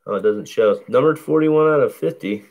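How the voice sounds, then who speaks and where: A man with a deep, bassy voice calmly calls out each baseball card he opens at a table indoors, in a relaxed running commentary. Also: rough recording. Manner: slow, laid-back play-by-play of each card. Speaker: card break host. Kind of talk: casual card-opening commentary. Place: indoors at a table covered in cards.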